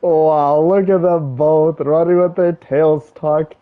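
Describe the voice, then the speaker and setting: A man's voice: fairly high pitch, steady tone, takes it slowly, slight background noise. A man chatting casually to his live stream viewers, reacting to a game with emphatic, repetitive phrasing. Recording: muffled lo-fi mic. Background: game music.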